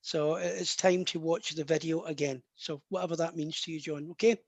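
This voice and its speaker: A man's voice: on the high side, fairly even intonation, a little fast, vocal fry, no room sound. A man working as a psychic medium in a live online session, talking in a chatty, rambling way full of restarts.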